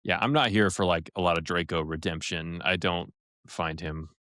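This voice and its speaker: A man co-hosting a casual podcast, relaxed and opinionated, often restarting his sentences. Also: low-pitched, moderate pace, no room sound, studio quality. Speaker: podcast co-host.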